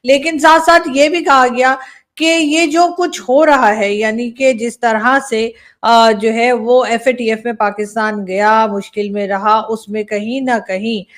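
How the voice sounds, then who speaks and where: A woman's voice: a little high, steady tone, measured pace. A woman with a Pakistani accent giving wordy, explanatory political commentary to viewers, mixing in English words. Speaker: news commentator.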